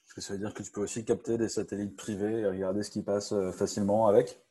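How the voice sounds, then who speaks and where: A man's voice: lowish voice, flat delivery, fast talker, mellow. A man hosting a podcast in a casual, informal, joking manner. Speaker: podcast host.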